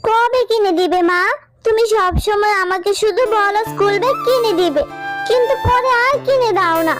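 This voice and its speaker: A woman's voice: very high voice, normal speed, loud music behind the voice. A woman voicing a little fox girl in a children's cartoon, a whiny, pleading, sulky daughter with a childlike manner.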